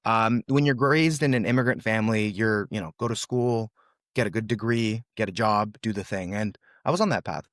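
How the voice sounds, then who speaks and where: Young guy, russian is his first language, low voice, dry close mic, earnest, lots of "um" and "brother". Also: fairly quick, a bit bright, no room sound. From USA, California. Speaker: guest. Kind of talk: casual storytelling interview.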